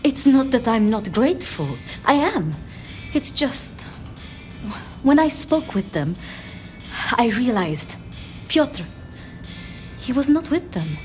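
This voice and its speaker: A flustered, devoted vampire scholar in a video game. Her voice is fairly high and very lively in pitch, stumbling and apologizing as it goes. The sound is slightly dulled. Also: narrow, tinny audio, soft background music. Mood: grieving, halting. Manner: stammering, hesitant, halting and apologetic. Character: timid, flustered, bookish female vampire researcher, devoted to her retainers. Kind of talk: video game dramatic dialogue.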